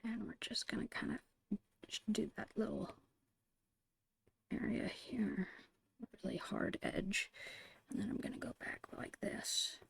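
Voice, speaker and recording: A woman whispering a casual, gentle ASMR drawing tutorial in a breathy, unhurried voice. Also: mid-range voice, fairly even intonation. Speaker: ASMR host and digital artist.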